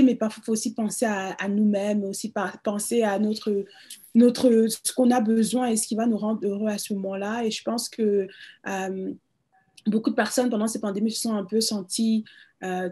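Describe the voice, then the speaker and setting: A woman is hosting a community radio forum. Her voice is mellow and a little husky. She speaks hesitantly, repeating words as she searches for what to say. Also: mid-range voice. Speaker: forum host. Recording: livestreamed community radio talk.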